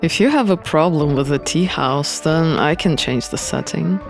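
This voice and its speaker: A reserved, serious man from a visual novel, put on by a reader. He speaks in a formal, terse way. Music plays softly beneath his words. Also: mid-range voice, moderate pace, creaky, voice pushed down, lightly acted. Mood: guarded, formal. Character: Felix, reserved, scowling man in a dream.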